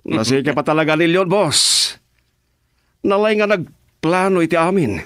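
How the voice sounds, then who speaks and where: A man with an Ilocano accent playing a smug henchman who flatters and needles in a radio drama. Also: a little high, moderate pace, no echo, studio quality. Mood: smug, flattering.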